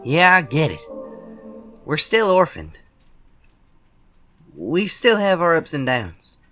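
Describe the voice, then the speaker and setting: A man's voice: on the high side, huge pitch swings, takes it slowly, pushed and bright, faint music behind, exaggerated voice. A young man voicing a scrappy, earnest thirteen-year-old cartoon bunny boy with a Southern US accent, emphatic and folksy as he pleads. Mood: exasperated. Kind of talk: dramatic cartoon monologue.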